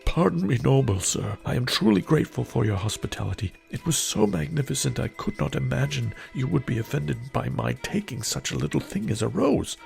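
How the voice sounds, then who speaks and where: A terrified old merchant pleads for pardon, voiced by a man. His voice climbs high and strained, with a gravelly edge. Faint music sits behind. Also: sing-song, a little fast, few pauses, a little mellow. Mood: pleading.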